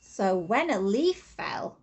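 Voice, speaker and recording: Slow, animated woman reading a storybook. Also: mid-range voice, gravelly, slightly rough. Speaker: infant school teacher reading a story. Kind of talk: expressive children's storybook reading.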